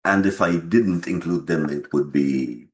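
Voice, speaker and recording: Very deep-voiced man explaining casually. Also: unhurried. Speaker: game developer being interviewed. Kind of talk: casual interview.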